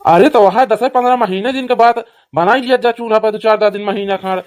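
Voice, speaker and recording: A man's voice: sits high, fairly even intonation, a little fast, lightly acted. A man voicing a grumbling elderly father-in-law in a rural cartoon comedy, pleading in an Awadhi accent. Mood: exasperated. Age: older.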